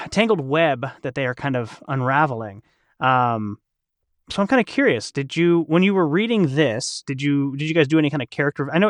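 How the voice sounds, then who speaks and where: A man's voice: mid-range voice, fairly bright tone, no echo, studio quality. A man hosting a casual chat, speaking in an enthusiastic, rambling way. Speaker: podcast host.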